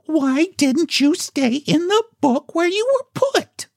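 A man voicing a frightened, then bossy little girl in a storybook reading, with a shrill, scolding manner. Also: very animated, unhurried, dry recording, studio quality, exaggerated voice.

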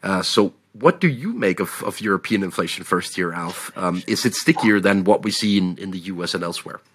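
A man with a Danish accent co-hosting a podcast, speaking in a measured way with frequent pauses. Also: low-pitched, animated, fairly bright tone, breathy edge. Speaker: podcast co-host, analyst. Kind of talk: casual market discussion.

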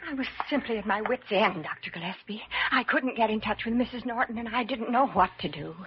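An actress playing an anxious, apologetic governess with a flustered, hesitant manner in a 1940s radio drama. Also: female voice, a little high, fairly quick, lightly acted. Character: anxious, apologetic female governess of a sick child. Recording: dull, slightly noisy vintage radio recording.

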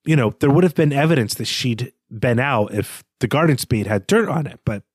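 A man's voice: medium pitch, expressive, normal speed, husky, no echo, clean studio sound. A man co-hosting a podcast, talking through a literary analysis in a thoughtful, hesitant, conversational way. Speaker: podcast co-host.

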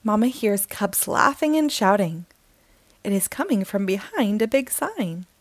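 A woman hosting a storytime, reading a children's picture book aloud slowly and expressively. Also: mid-range voice, no echo. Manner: slow, expressive, sing-song reading.

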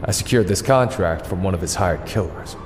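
A man plays a composed masked vigilante detective in an audio drama. The voice is low and stern, laying out facts with authority. Faint background noise sits under it. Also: a little fast, in a large hall, natural voice. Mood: stern, explaining.

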